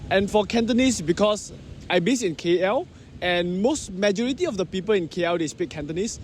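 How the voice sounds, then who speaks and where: High-voiced man from Malaysia. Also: animated, brisk, a little hiss or hum. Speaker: street interviewee. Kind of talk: casual street interview answers.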